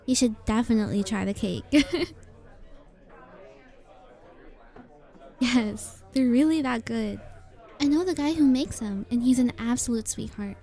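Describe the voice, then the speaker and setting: A woman voicing a very shy, sweet young coffee shop regular in an ASMR roleplay, talking in a hesitant, softly earnest way that sometimes drops toward a whisper. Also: on the high side. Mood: friendly, shy.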